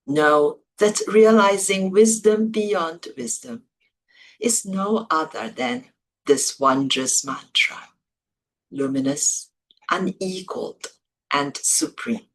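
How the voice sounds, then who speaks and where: A woman reads scripture in a low-pitched voice. Her pace is extremely slow and measured, and she sets out each short phrase deliberately, leaving pauses between them. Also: a little hiss or hum, poor audio. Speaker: Buddhist monastic, reader. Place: office.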